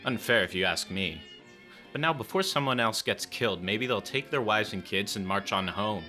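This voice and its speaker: A moody young charmer from a Depression-era radio play. His voice sits deep, with a crisp, forward edge. He woos playfully and theatrically, over faint music. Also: expressive, moderate pace, sharp tone. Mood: grim, uneasy.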